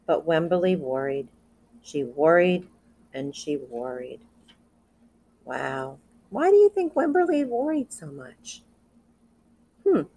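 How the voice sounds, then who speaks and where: Woman, low-pitched, expressive storyteller for young listeners, pausing for commentary and questions. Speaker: educator reading a picture book aloud. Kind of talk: read-aloud storytelling with commentary for children.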